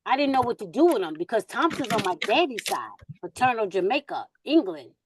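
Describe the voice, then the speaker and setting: A woman's voice: a little high, big pitch swings, fairly quick, noisy background. A woman hosting a casual online group discussion in an informal, colloquial way.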